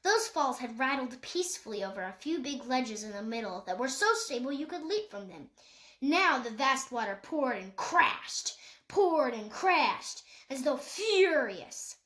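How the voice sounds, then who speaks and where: A woman reads a children's novel aloud, expressive and slowing for drama. Her voice is high, and the room around her is echoey. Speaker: reader.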